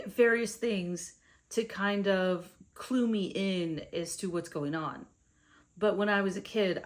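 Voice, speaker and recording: A young woman from India with an American accent, telling her own story to the camera in a casual, chatty way. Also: lowish voice. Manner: conversational, with fillers and asides to the viewer. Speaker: vlogger.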